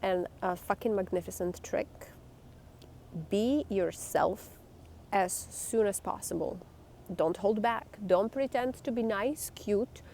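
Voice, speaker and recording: Blunt woman with a gravelly voice. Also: medium pitch, slow pace, dark tone, a little hiss or hum. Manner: blunt, emphatic and swearing. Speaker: vlogger. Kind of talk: candid talk to camera.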